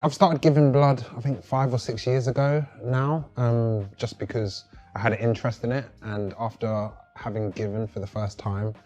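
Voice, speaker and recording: A man's voice: medium pitch, steady tone, normal speed, hardly any pauses, dark tone. A young man from South London, earnestly describing his experience in a casual interview. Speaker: regular blood donor. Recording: light music in the background.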